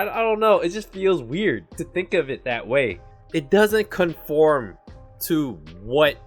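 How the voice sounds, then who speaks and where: A man giving casual anime commentary, chatty and full of "like" and "you know", who calls his own voice monotone. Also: a little high.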